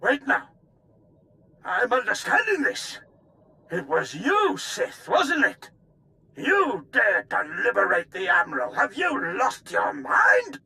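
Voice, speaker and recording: An actor playing an unhinged, incoherent old military officer in a video game, ranting with paranoia and erratic bursts of shouting. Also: male voice, very high-pitched, wildly swooping pitch, slow, exaggerated voice. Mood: accusing, outraged. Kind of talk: theatrical video game voice acting.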